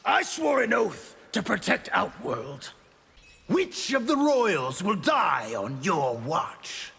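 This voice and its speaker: A ruthless, scornful soldier from a fighting game. His delivery is slow and deliberate, thick with gravel. Long reverb and faint noise surround the voice. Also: sits high, big pitch swings, a bit bright, soft background music, full character voice. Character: ruthless, cold, contemptuous male soldier. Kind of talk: video game fight intro dialogue.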